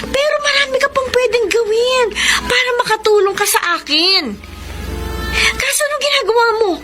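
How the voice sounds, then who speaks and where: An actress playing a weary, irritable young wife in a radio melodrama, with blunt, emphatic delivery. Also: female voice, very high-pitched, moderate pace. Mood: exasperated, bitter. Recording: studio radio drama with music underneath. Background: clear background music.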